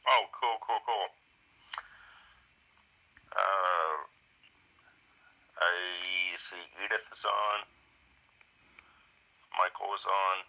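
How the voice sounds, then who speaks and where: Man, very slow and low, gravelly, bright tinny radio-speaker sound. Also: steady tone. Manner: slow, halting, filler-heavy. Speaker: radio app user. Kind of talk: casual chat over walkie-talkie app. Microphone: played from a handheld radio phone. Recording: heard through a walkie-talkie speaker.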